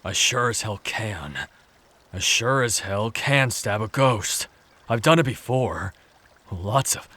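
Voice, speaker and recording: A man playing a tough but awkward, easily flustered demon-fighting warrior in an audio drama, with halting delivery. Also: lowish voice, lively intonation, bright, forceful tone, exaggerated voice. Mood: defensive bluster.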